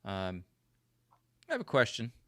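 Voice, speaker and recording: A man's voice: deep voice, wildly swooping pitch, unhurried, bright, forceful tone. An American man hosting a livestream podcast, chatting casually and asking curious questions with plenty of ums and uhs. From United States. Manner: curious, asks lots of questions, filler-heavy. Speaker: podcast host.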